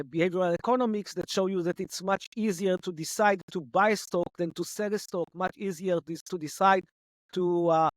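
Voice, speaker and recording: A man's voice: high-pitched, dry recording. A man, a guest, lecturing in an argumentative, analytical way and answering objections he raises himself. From Israel. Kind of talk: analytical debate.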